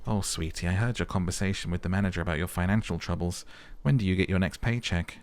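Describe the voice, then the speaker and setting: A man's voice: deep voice, quick pace. A man voicing a kindly, motherly old lady in a video game. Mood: concerned, tender. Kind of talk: role-played video game dialogue.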